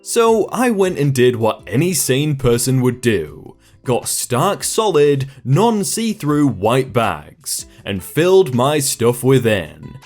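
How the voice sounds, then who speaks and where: A man narrates online stories with a lively, swinging delivery, reading each post like a told tale. His pitch sits in a middle range but moves around a lot. Soft music plays faintly underneath. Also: takes it slowly, hardly any pauses. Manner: lively storytelling reading with short comments between stories. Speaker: narrator reading reddit posts. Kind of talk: scripted story narration.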